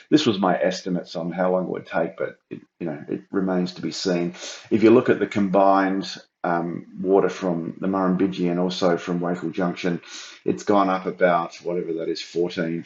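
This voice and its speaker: A middle-aged man with a croaky voice, walking viewers through a flood data report on his screen with a thinking-aloud, explanatory manner. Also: low voice, darkish tone, echoey room, muffled recording. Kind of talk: screen-share walkthrough.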